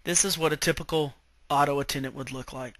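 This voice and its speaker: Male tech trainer, slightly high bright voice, dry close sound, informal step-by-step screen walkthrough. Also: fairly even intonation, quick pace, no echo. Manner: step-by-step explaining, checking in with okay. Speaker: IT trainer presenting software tutorial.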